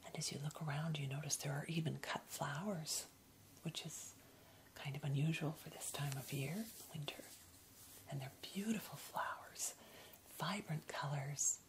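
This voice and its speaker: A woman whispering breathily and gently, guiding a soothing, unhurried ASMR meditation. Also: sits low, poor audio. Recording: clear background noise, ambient hiss.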